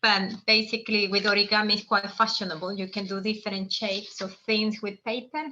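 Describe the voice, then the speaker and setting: A woman's voice: mid-range voice, level tone, fairly quick, pushed and bright, lo-fi sound, a little hiss or hum. A woman from El Salvador hosting a live online origami lesson, explaining in a friendly, meandering way with restarts. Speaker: workshop host.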